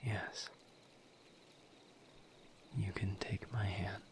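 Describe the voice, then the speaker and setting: Man voicing a very slow, whispering low demon. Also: steady tone, a little hiss or hum. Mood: gentle, consoling. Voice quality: breathy, whispery. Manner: slow, halting, soothing, with long pauses. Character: gentle, patient male misery demon, an empathetic confidant. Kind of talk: whispered intimate audio roleplay.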